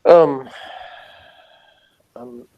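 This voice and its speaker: A man's voice: extremely slow, lo-fi sound. A man co-hosting a casual review chat, talking in a rambling, matter-of-fact way. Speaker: podcast co-host reviewing a TV show.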